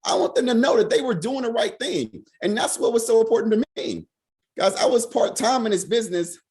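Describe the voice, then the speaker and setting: A man leading a financial sales team on a video call, hoarse from being sick, talking fast and repeating "right?" over and over. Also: very high-pitched, expressive, darkish tone. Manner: fast, repetitive, peppered with "right?". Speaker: financial services team leader. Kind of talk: motivational talk on a video call.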